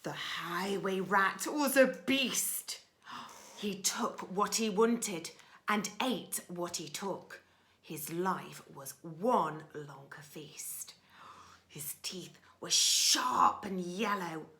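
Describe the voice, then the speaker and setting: A woman's voice: lowish voice, animated, extremely slow, pushed and bright. A woman teacher reading a storybook expressively to young children, adding simple little asides. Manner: simple, child-directed phrasing.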